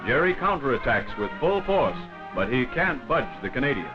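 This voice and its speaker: A man's voice: medium pitch, big pitch swings, moderate pace. A man reading scripted wartime newsreel narration in a formal, dramatic 1940s manner. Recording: dull vintage 1940s film soundtrack. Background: loud background music.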